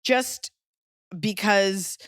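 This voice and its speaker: A woman's voice: medium pitch, very slow, fairly bright tone, smooth, no room sound, clean studio sound. A woman hosting a podcast in casual comedic chat, with a wry, self-mocking manner. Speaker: podcast host.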